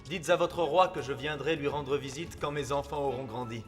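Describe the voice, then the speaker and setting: A man's voice: mid-range voice, fairly even intonation, moderate pace, lightly acted. A man voicing a regal, fatherly animal king in a French cartoon dub, stern but gracious and formal. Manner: stately, formal. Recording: long hall reverb, faint music.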